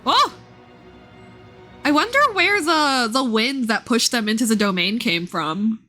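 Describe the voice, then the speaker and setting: A woman gives casual live commentary while playing a game. Her voice is high and bright, and she reacts expressively with frequent exclamations and casual swearing. Soft game music plays behind her. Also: lively intonation, moderate pace.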